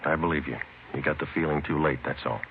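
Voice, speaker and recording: An actor playing a stern, world-weary police detective in a clipped, deadpan old radio drama style. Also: male voice, extremely low pitch, fast talker, creaky, a little hiss or hum. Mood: firm, weary. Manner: terse, deadpan. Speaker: lead actor and narrator. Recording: muffled old radio recording, music behind.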